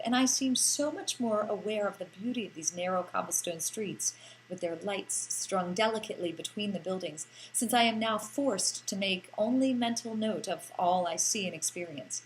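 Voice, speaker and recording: A woman reads a first-person story as an audiobook narrator. Her voice sits at a medium pitch, and she delivers the lines in a theatrical, lilting way, lingering over phrases. A faint noise and hum runs under the recording. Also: animated, moderate pace, rough recording.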